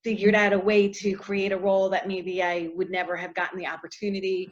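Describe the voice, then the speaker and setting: A middle-aged actress answering interview questions in a casual, chatty way, full of fillers and often trailing off softly. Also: female voice, medium pitch, little pitch movement, a little fast, dull audio.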